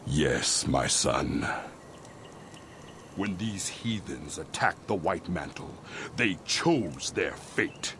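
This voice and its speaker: An actor playing a grave, vengeful religious leader in a fantasy game, delivering measured, preaching oratory. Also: male voice, low-pitched, animated, background noise, full character voice. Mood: grave, righteous. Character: solemn, vengeful male religious leader rallying followers. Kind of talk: video game cutscene acting.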